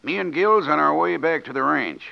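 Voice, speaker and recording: An actor playing a scheming rancher who poses as peaceable, with a gravelly voice and American Western drawl, in a radio western. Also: male voice, a little high, normal speed. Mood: smooth, scheming. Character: scheming rancher villain posing as peaceable man. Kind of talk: old-time radio western acting. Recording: muffled old radio recording.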